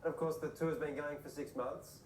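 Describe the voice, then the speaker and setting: A man hosting a TV panel show, asking wry, quick questions. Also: on the high side, steady tone, darkish tone, roomy sound, far from the mic, a little hiss or hum. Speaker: TV panel host. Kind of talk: casual TV interview questions.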